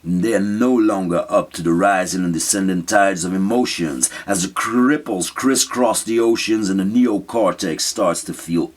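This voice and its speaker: Male spoken-word poet, lower-pitched voice, dramatic and deliberate delivery with emphatic phrasing. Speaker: writer reading own spoken-word piece. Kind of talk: spoken-word poetry recitation.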